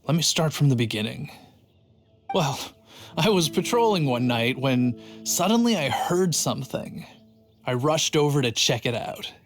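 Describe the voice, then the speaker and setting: A man's voice: mid-range voice, animated, measured pace. A man voicing an earnest, boastful but timid city guard in a video game, telling things with drama. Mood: dramatic. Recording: faint music behind the voice.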